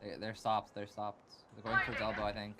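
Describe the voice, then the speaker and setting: Quiet gamer man calling positions, noisy line. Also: fairly low pitch, monotone. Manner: quick, quiet tactical callouts. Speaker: competitive gamer. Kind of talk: casual team voice chat. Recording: loud background noise with clicks.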